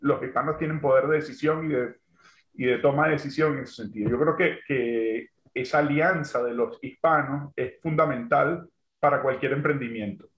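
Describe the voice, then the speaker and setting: A man's voice: mid-range voice, moderate pace, a bit bright. Man with a roomy, dull sound. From Venezuela. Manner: thoughtful, full of fillers like "este" and "digamos, ¿no?". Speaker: guest, construction entrepreneur. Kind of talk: remote interview.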